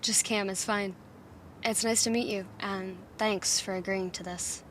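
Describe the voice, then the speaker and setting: An actress playing a considerate, protective woman, a loyal friend speaking gently and carefully in an audio drama. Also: mid-range voice, fairly even intonation, a little slow, a little hiss or hum, natural voice. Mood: friendly.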